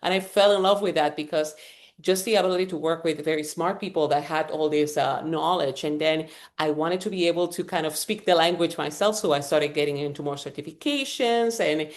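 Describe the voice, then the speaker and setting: A woman's voice: deep voice, a little fast. A woman in her forties from Puerto Rico, a Spanish speaker with a Puerto Rican accent, talking as a podcast guest with plenty of fillers and restarts. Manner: rambling with many fillers and restarts. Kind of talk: conversational interview.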